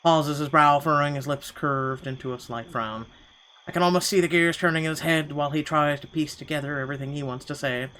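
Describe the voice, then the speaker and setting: A man's voice: fairly high pitch, brisk, pushed and bright, slight background noise, rough recording. A man reading first-person narration from a game aloud in a dramatic storytelling style. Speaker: gaming channel host reading a visual novel aloud.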